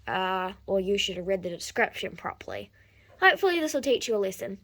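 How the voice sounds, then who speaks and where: A woman's voice: medium pitch, sing-song, fairly quick, fairly bright tone, pitched below the performer's own voice. A woman doing a blunt country horse seller. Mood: blunt, lecturing. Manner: drawling, blunt and lecturing.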